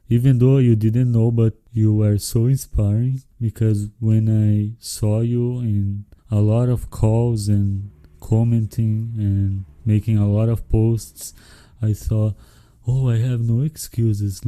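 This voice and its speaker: A man hosting a language-learning podcast interview, speaking friendly, measured non-native English with small slips. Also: lowish voice, warm tone, no room sound. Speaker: podcast host.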